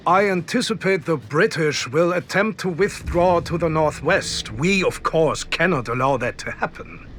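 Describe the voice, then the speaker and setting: High-pitched commanding general. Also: male voice, expressive, normal speed, fairly bright tone, slight background noise. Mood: brisk, satisfied. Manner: formal, authoritative, clipped commands. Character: commanding German general, confident, calculating desert war strategist. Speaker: commanding officer giving mission briefings. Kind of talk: scripted video game cutscene and briefing acting.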